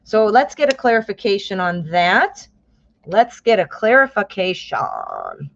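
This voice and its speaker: A woman's voice: medium pitch, huge pitch swings, measured pace, gravelly. An American woman reads tarot cards live for her viewers, chatting easily and dropping endearments as she goes. She bursts into exclamations when a card surprises her. The stream audio is a little dull and lo-fi. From United States.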